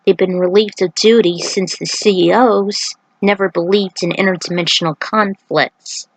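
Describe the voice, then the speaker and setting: A woman narrates a written story in a mid-pitched voice. The sound is dry, with very little room around it, and she occasionally trips over a word as she reads. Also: fairly even intonation, moderate pace, dry recording, poor audio. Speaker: narrator reading own fan fiction.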